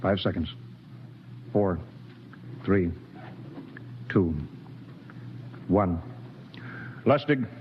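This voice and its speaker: Spaceship captain, clipped orders, authoritative, old radio drama, dull and hissy sound. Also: male voice, sits low, lively intonation, extremely slow, pauses often, full character voice. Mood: commanding. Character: commanding, cautious male spaceship captain.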